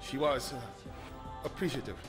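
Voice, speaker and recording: A man's voice: medium pitch, normal speed, over music, close to an everyday voice. A man playing an edgy, self-important businessman in a video game. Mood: nervous, deferential. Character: haughty businessman who turns nervously deferential, male. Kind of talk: video game character acting.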